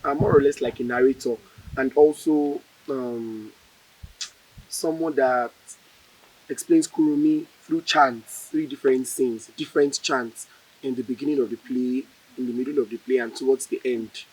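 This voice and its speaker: A young man with a Nigerian accent giving an informal interview as a student actor, in a halting way. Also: mid-range voice, fairly even intonation, a little mellow, slightly rough, rough recording. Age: young adult.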